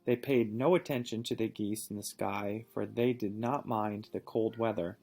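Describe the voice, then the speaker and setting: A man reading a storybook aloud and pausing to ask questions in a patient, encouraging manner. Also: lowish voice, steady tone, gravelly, a little mellow. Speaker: reading teacher or parent reading aloud.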